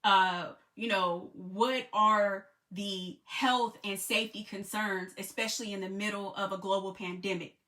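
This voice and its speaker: Bright-voiced American woman telling a travel story. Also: mid-range voice, a little slow, hardly any pauses. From United States. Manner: conversational, many "you know" fillers, grows excited. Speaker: travel vlogger and group trip leader. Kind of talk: casual storytelling to camera.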